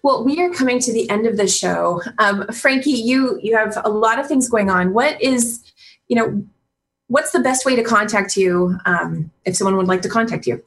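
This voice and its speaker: A woman's voice: medium pitch, normal speed. A woman hosting a radio interview show in a casual, encouraging way. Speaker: radio show host and coach.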